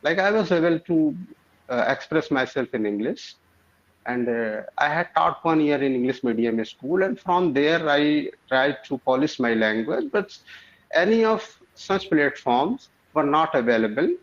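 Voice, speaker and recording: A man's voice: fairly high pitch, animated, measured pace. A man in his forties who teaches primary school, speaking hesitantly with many fillers and polite formal address. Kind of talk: casual conversation practice. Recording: muffled lo-fi audio.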